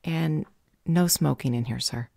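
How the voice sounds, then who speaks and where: A woman playing a curt, officious, unhelpful clerk at a service window in an audio roleplay, deadpan and dismissive. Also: sits low, measured pace, gravelly, dry recording, clean studio sound, full character voice. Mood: smug, officious.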